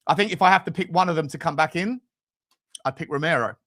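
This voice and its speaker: A man's voice: fairly high pitch, fast talker, sharp tone. A British man in his forties hosting a casual football livestream, rambling and joking in slangy, sweary chat as he answers comments. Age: 40s. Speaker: host of a football fan livestream.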